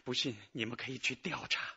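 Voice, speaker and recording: An actor playing an anxious injured manager, pleading his innocence with halting sighs in a radio drama. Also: mid-range voice, gravelly, bright, forceful tone, husky, highs cut off, full character voice. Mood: pleading, explaining. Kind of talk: Chinese radio drama acting.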